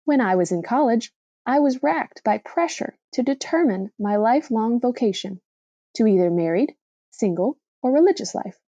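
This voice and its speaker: A woman with a fairly high, mellow voice reads a reflective blog essay aloud. Her delivery is measured and deliberate, clearly working from a script. The sound is dry and close, with very little room in it. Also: expressive, no room sound, muffled recording. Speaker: reader of a blog post for a podcast.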